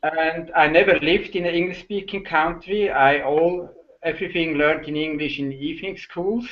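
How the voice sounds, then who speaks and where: A man's voice: a little high, muffled recording. A middle-aged man from Switzerland, a Swiss German native speaker with a Swiss German accent, talking hesitantly on a video call as a guest. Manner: hesitant, halting, many uh fillers. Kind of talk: casual video call chat.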